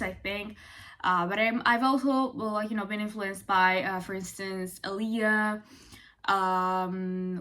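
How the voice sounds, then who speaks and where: Bright-voiced young woman. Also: medium pitch. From Italy. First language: Italian. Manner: chatty and animated, full of "like" and "you know". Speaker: vlogger. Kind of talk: casual talk to camera.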